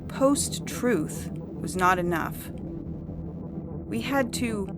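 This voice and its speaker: A woman's voice: mid-range voice, animated, extremely slow. Casting: woman as unyielding female judge for sci-fi audio fiction, formal lecturing delivery, prominent music bed. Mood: lecturing, certain. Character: coldly official female judge, zealous about majority rule. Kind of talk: dramatized science fiction story reading. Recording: loud music bed under the voice.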